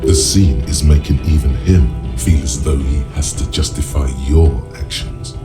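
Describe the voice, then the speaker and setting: Very deep wry inner-voice narrator. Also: male voice. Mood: wry, knowing. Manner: measured, wry, literary. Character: deep brooding inner voice, sardonic male narrator. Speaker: game narrator. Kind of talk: scripted narration. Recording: music behind the voice.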